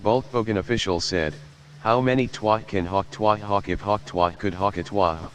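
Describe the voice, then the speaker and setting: A synthetic male text-to-speech voice reading out viewer donation messages in a flat computer tone. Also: lowish voice, moderate pace, slight background noise.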